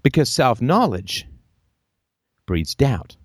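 A man hosting a call-in show, talking in a lively, emphatic way and repeating phrases for effect. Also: medium pitch, slow pace, husky, no room sound, studio quality.

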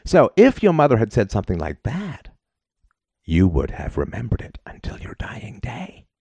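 A man's voice: lowish voice, huge pitch swings, normal speed, creaky, mellow, slightly rough, no echo, dull audio. A man raised in Britain hosting a call-in advice show, talking at length and tagging his points with "right?". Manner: talkative, storytelling, frequent "right?" tags.

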